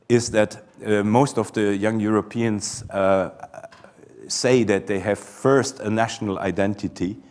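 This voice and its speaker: A Belgian man answering audience questions after a conference talk, often hesitating and repeating words before he warms up. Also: fairly low pitch. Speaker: conference presenter.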